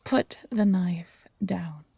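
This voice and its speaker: Woman acting a smug, husky, menacing bounty hunter. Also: on the low side, mellow, dry recording, full character voice. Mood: cold threat. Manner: taunting, condescending, unhurried. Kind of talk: audio roleplay acting.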